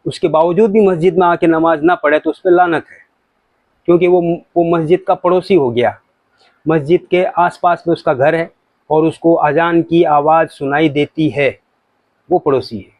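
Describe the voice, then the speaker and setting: A man's voice: on the high side, fairly even intonation, normal speed, a little mellow, no echo. A man giving an informal religious talk to viewers, explaining points in an explanatory way with examples. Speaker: religious commentator.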